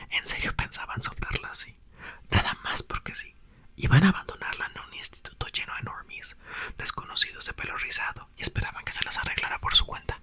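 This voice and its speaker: A young man, host of his channel, whispering a book aloud and chatting softly to viewers. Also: very high-pitched. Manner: soft, whispered throughout. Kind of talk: ASMR reading and chat.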